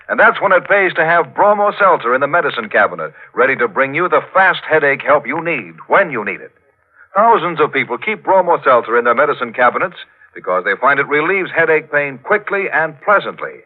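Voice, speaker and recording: A man reading a scripted commercial as a 1940s radio announcer. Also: a little high. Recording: tinny, narrow-band old radio broadcast.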